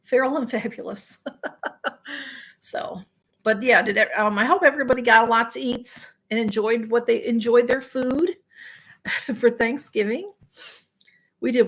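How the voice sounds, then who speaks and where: Middle-aged woman, somewhat high voice, tired, rambling livestream chat. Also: a bit bright. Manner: rambling, with many fillers and restarts, greeting viewers by name. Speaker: livestream host. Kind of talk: casual chat with live viewers.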